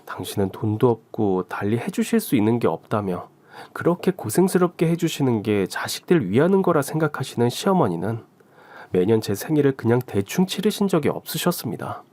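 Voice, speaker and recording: A man's voice: medium pitch, very animated, a little fast, a little mellow, no room sound. A man with a mid-range voice narrates a scripted first-person story. His intonation moves widely, and the recording is dry and close.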